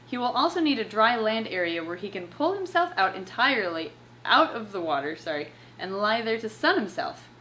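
Animated, bright-voiced young woman. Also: mid-range voice, moderate pace, slight background noise. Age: young adult. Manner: animated, playfully sarcastic. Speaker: presenter of a pet reptile channel. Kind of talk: casual chat with read-aloud passages and commentary. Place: living room couch at home, indoors.

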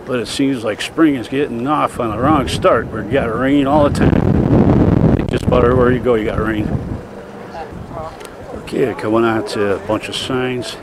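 A man's voice: medium pitch, moderate pace. An older man giving rambling, plain-spoken, casual commentary as he walks around with a camera. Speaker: vlogger. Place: busy outdoor fairground and market buildings. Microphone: handheld camera mic, held by speaker. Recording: windy, noisy outdoor camcorder audio.

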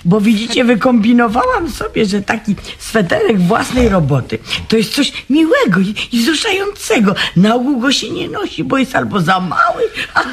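A woman's voice: fairly high pitch, sing-song, normal speed, few pauses, a little hiss or hum. An actress in a radio comedy playing an elderly mother who gushes over and fusses at her grown son. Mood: amused, proud. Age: older. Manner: gushing, endearments and fussing. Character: doting elderly mother, fussy and proud. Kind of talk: radio comedy drama acting.

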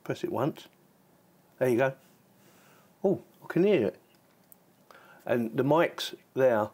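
A man's voice: mid-range voice, animated, takes it slowly, a little mellow. A man hosting a casual product review, chatting informally and thinking aloud as he demonstrates the gear. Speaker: tech reviewer and channel host.

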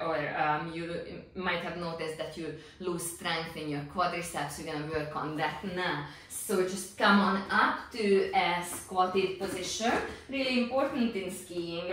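A woman in her forties leading a pilates class at home, cueing each move calmly with many fillers. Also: lowish voice. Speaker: pilates instructor. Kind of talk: guided exercise instruction. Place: home exercise studio, indoors. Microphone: far camera. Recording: echoey room, voice from far off.

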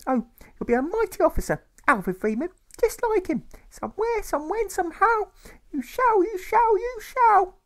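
A man's voice: extremely high pitch, sing-song, normal speed, few pauses, fairly bright tone, voice pushed up, full character voice. A man voicing a distraught, doting Edwardian mother, whimpering and shrieking with fervent patriotic pride. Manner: whimpering, shrieking, gushing, repetitive.

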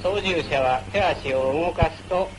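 A man's voice: a little high, unhurried, slight background noise, low-quality recording. A man narrating a wartime military training film in a formal, instructional manner, on an old film soundtrack. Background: music.

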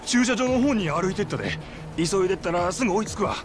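A man's voice: sits high, lively intonation, quick pace, music in the background. An actor playing an eager, chatty male street local with a Kansai accent in a video game. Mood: eager, urging. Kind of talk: video game drama acting.

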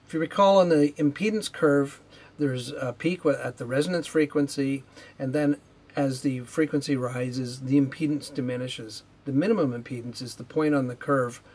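Guy in his 50s, bit husky, mid pitch, presenting a technical lesson, measured and matter of fact. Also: a little mellow. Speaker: presenter, loudspeaker R&D manager. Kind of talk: unhurried technical explanation to camera. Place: indoor video studio with whiteboard.

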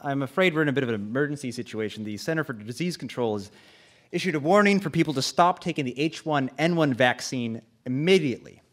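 A man playing a flustered, apologetic director who haltingly delivers breaking news in a live radio drama. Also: mid-range voice, expressive, lightly acted.

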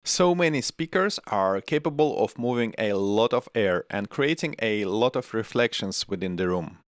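A middle-aged man presents scripted tech video narration in a fairly low voice. His intonation swings in an animated way, and the close studio microphone gives a dry sound. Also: normal speed, no echo. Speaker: tech channel host. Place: small home studio room. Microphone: close desk microphone on arm.

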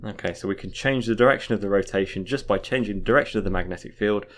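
A man's voice: fairly low pitch, fast, slight background noise. A man teaching in an informal explainer style, going step by step, repeating points and often checking in with a short tag. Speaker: physics teacher. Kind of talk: informal explainer lesson, talking through a diagram.

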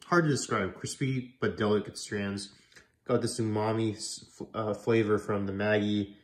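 A man's voice: on the low side, fairly even intonation, moderate pace. Congested man chatting through a cooking vlog. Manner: chatty, rambling, self-correcting. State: congested from a cold. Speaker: food vlogger. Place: home kitchen, indoors.

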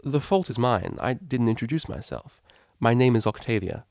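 A man's voice: mid-range voice, big pitch swings, normal speed, creaky, a little mellow, dry recording, clean studio sound. Man reading as a polite, reserved young mare. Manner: formal, reserved, courteous. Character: polite, composed young female pony cellist.

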